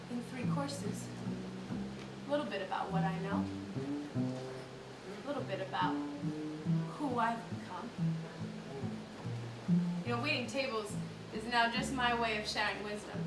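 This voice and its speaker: A woman's voice: normal speed, long pauses, over loud music. A woman in her thirties performing a storytelling monologue on stage, laughing as she tells it and mimicking her customers. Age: 30s. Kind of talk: theatrical monologue. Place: theatre stage with live audience. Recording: roomy theatre sound from a distance. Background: upright bass, audience laughter.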